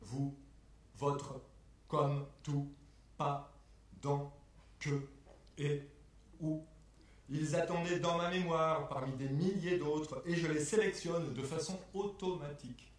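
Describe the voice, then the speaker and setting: A man delivering a scripted spoken exposé in a measured, methodical way, setting phrases apart like quotations. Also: on the high side, fairly even intonation, recorded from a distance. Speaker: performer in a spoken-word performance lecture. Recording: echoey room, picked up from afar.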